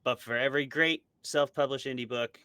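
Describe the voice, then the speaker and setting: A man's voice: mid-range voice, fairly even intonation, brisk, sharp tone, clear, resonant tone, dry recording. A man talking casually as an interview guest, giving his opinions and explaining them with examples. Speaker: interview guest, author and podcast co-host. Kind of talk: casual conversational discussion.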